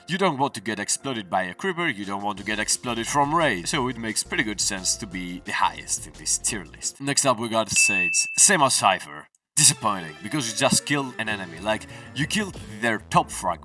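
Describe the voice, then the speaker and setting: A man's voice: on the low side, bright, forceful tone, recorded from a distance. A man giving casual, opinionated gaming tier-list commentary in a conversational way. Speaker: video creator. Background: video game music.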